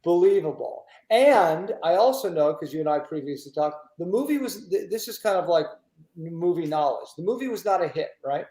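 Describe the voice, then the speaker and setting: A man's voice: a little high, expressive, fairly quick, warm tone. A man hosting a conversational interview in an effusive way, often repeating his phrases as he goes. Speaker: host. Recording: echoey room sound.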